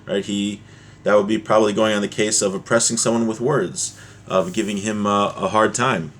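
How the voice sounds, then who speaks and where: A man's voice: fairly low pitch, steady tone, moderate pace, a bit bright, slight background noise. A man giving an informal religious lesson as a teacher, explaining a text with everyday examples.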